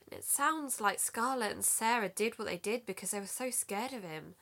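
Woman playing a creaky-voiced podcast investigator. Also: fairly high pitch, a bit bright. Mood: reflective, serious. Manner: measured, summing up evidence. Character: earnest female true-crime podcast investigator. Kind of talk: scripted podcast drama dialogue.

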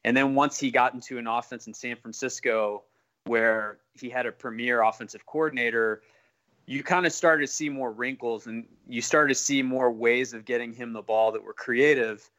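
A man's voice: mid-range voice, steady tone, normal speed, pushed and bright. A man co-hosting a podcast, casually discussing sports and putting questions to a guest. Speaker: podcast co-host asking questions.

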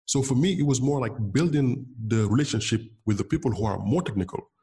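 A man's voice: medium pitch, lively intonation, fast, warm tone. A man from Senegal whose first language is Wolof, speaking as an interview guest in earnest casual talk, with frequent restarts and repeated words. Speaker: guest, Bitcoin developer.

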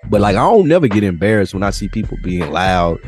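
A man co-hosting a podcast, telling slangy, animated stories in casual chat. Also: lowish voice, fast talker, faint music behind. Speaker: podcast co-host. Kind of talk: casual storytelling chat between friends.

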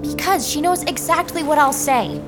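Woman as headstrong teen, high voice, indignant and emotional, audio drama with music underneath. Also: moderate pace, lightly acted. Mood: bitter. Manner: questioning, emotional. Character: indignant, headstrong teenage girl hurt by her mother.

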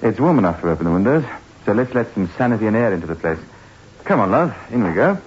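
Theatrical, confident husband, swooping delivery. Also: male voice, on the low side, very animated, fast talker. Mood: playful, assured. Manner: theatrical, wordy, bantering. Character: confident teasing husband, sceptical writer. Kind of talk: 1960s radio drama acting. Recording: hissy, muffled 1960s radio recording.

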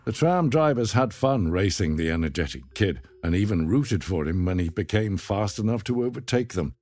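Deep-voiced male documentary narrator. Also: expressive, darkish tone. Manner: measured, dramatic storytelling.

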